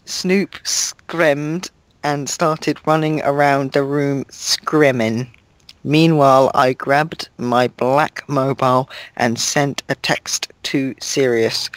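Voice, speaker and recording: A young man slowly reading a badly written story aloud in a deadpan voice on a voice call. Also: mid-range voice, no room sound. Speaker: reader of a fan fiction. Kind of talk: mock-dramatic reading over gameplay.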